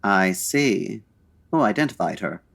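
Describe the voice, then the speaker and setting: Actor as unflappable gentleman sleuth, very low voice, suave, dry, formal, old-time radio parody. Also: lively intonation, measured pace, gravelly, heavily acted. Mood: probing. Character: suave, unflappable gentleman detective, dryly amused.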